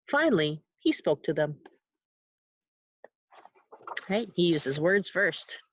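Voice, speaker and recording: A woman reads a children's story aloud expressively, pausing to put simple questions and asides to young listeners. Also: fairly low pitch, no room sound, muffled recording.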